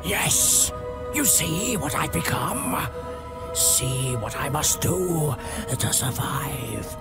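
Slow, hissing dark lord. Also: male voice, fairly high pitch, very animated, vocal fry, over loud music, exaggerated voice. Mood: bitter menace. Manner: slow, imperious, menacing. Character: evil dark lord wizard, weakened parasitic spectre. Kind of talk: video game voice acting.

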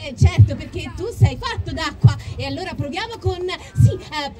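A woman's voice: high voice, expressive, moderate pace, loud music behind the voice. A woman voicing a cheerful, caring little sea girl in a children's puppet show, with exclamatory, theatrical delivery. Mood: lively, curious.

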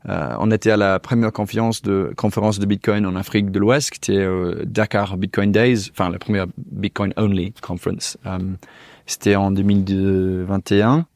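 Guest spot: English guy in his thirties, lowish voice, hesitant second-language speaker, dry close lapel sound. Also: fairly even intonation, normal speed, a little mellow, no echo. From England. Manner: hesitant, searching for French words, many restarts. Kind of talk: casual conversational interview. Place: small indoor studio with couch. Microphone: lapel mic.

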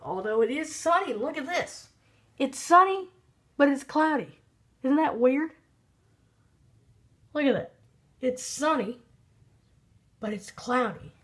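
A man's voice: high-pitched, husky. A young man with a youthful voice giving hesitant, rambling casual commentary on a handheld phone in a bedroom. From Texas, United States. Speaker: weather radio hobbyist vlogger. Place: small bedroom at home, by a window. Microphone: handheld phone camera.

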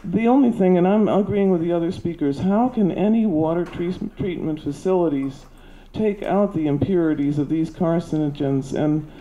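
An older woman with a soft voice giving an earnest, halting public comment at a podium into a handheld mic. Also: lowish voice, lo-fi sound, slight background noise. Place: meeting hall at a podium. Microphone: handheld microphone.

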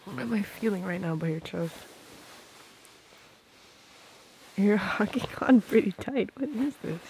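A woman's voice: medium pitch, lively intonation, dark tone, a little hiss or hum, close to an everyday voice, poor audio. A woman playing a tender, protective girlfriend in a romantic ASMR roleplay, whispering intimately and affectionately, very close to the microphone. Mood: surprised, touched. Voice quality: breathy, whispery. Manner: slow, intimate, affectionate murmuring. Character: tender, protective, doting girlfriend murmuring at bedtime.

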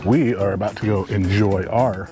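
A man co-hosting a casual outdoor cooking demo, talking in a relaxed, chatty way as he explains each step. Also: lowish voice, moderate pace. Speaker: cooking show co-host. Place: outdoor campsite. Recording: music playing behind.

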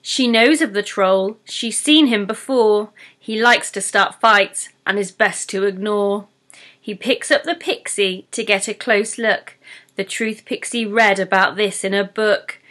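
A woman's voice: medium pitch, measured pace, a bit bright. A young woman, reading a rhyming children's story aloud expressively like a primary school teacher.

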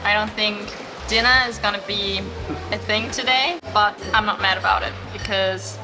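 A woman's voice: medium pitch, fairly even intonation, moderate pace. A young woman on a travel vlog, chatting in an upbeat way about food and markets. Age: young adult. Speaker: travel vlogger. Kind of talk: casual travel vlog chat. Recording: loud music bed underneath.

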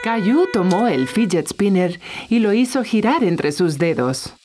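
A woman's voice: medium pitch, animated, a little slow, darkish tone, faint music behind, natural voice. A woman narrating a children's cartoon as a kindly storyteller, reading scripted lines. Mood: calm storytelling. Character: kindly storyteller narrating a little boy's day.

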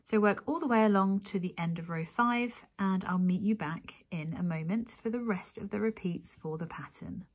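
A woman's voice: medium pitch, normal speed, few pauses. A woman presenting a craft tutorial, giving methodical step-by-step instruction and counting as she goes.

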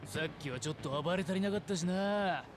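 A man voicing a rough, excitable young street gang punk in a video game, using slangy speech. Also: high-pitched, a little fast, over music, lightly acted. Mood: cocky, sneering. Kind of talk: video game cutscene voice acting.

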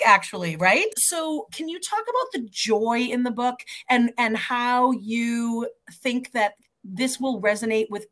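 A woman's voice: on the high side, fairly bright tone. A middle-aged woman, hosting a conversational interview over a video call. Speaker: editor, interviewer. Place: indoor home room, video call. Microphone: computer video call microphone.

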